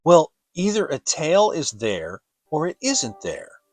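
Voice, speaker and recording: A man's voice: a little high, huge pitch swings, slow pace, faint music behind, pitched above the performer's own voice, exaggerated voice. A man voicing a kindly, earnest bear in a children's storybook, simple and helpful, speaking with emphasis. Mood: emphatic. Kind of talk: dramatic children's audiobook reading.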